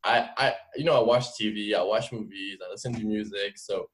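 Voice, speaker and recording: Podcast guest: man, twenties, French accent, lowish pitch, informal and explanatory. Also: brisk, fairly bright tone. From France. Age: 20s. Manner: informal, explanatory, frequent "like" and "you know". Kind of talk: casual interview storytelling.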